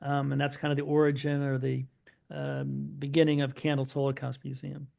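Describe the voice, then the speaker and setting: A middle-aged man, a guest on an interview podcast, telling his family's story in a lively, anecdotal way full of asides. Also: medium pitch, normal speed, vocal fry. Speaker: podcast guest, son of Holocaust survivors.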